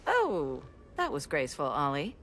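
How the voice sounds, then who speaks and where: A woman's voice: low voice, wildly swooping pitch, moderate pace. A woman playing a tough superhero fighter in a video game, dry, curt and sardonic. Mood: dry, scornful. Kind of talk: video game cutscene acting. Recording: loud cinematic music behind the voice.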